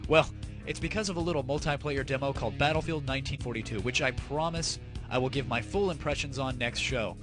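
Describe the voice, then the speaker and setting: A man's voice: medium pitch, brisk. A man hosting a comedy audio show, talking casually to listeners in a teasing, mock-formal way. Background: background music.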